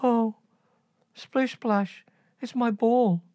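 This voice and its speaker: A woman voicing a spoiled, excitable young princess in a storybook tale, breathless and whiny. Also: a little high, drawn-out words, no echo, pitched above the performer's own voice.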